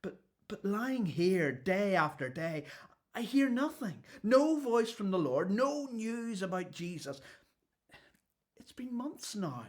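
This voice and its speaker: An actor playing an imprisoned prophet in a radio play, weary, testy and full of doubt, with a brooding delivery. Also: male voice, sits high, very animated, takes it slowly, full character voice. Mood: anguished doubt.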